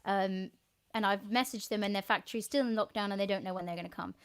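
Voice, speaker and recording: A woman's voice: mid-range voice, steady tone, fast, clear, resonant tone. A woman musician chatting casually on a home live stream over a video call, rambling and giggling. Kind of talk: casual chat.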